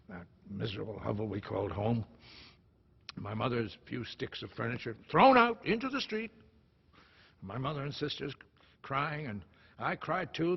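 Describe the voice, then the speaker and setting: A man acting a bitter, proud, ageing actor father, miserly and regretful, in a husky, gravelly theatrical monologue. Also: mid-range voice, exaggerated voice. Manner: theatrical, swinging between hushed and declamatory. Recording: dull, lo-fi sound.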